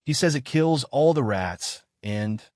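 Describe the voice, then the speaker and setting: Curious, chatty young girl for a storybook, played by a male narrator: polite, earnest, lilting widely in pitch. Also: lively intonation, takes it slowly, darkish tone, no echo, studio quality. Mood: eager. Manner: earnest, apologetic, rambling.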